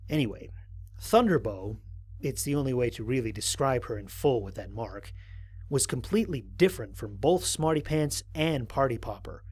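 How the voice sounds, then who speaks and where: Husky, expressive man as a space explorer. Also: medium pitch. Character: human male space explorer recording mission logs, curious, bemused. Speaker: narrator of an audio drama. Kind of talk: dramatic first-person mission log narration.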